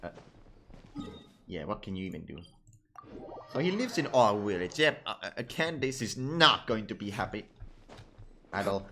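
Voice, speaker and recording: A man's voice: lowish voice, big pitch swings, moderate pace, long pauses, fairly bright tone, a little hiss or hum. A man giving casual live gameplay commentary as a streamer, irreverent, muttering asides and repeating himself. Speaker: game streamer.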